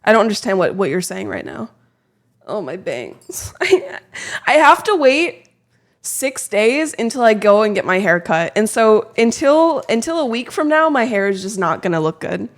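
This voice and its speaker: A woman's voice: mid-range voice, very animated, normal speed. Chatty young woman streamer. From lives in Texas. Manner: chatty, self-deprecating, fidgeting with her mic. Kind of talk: casual live-stream chat.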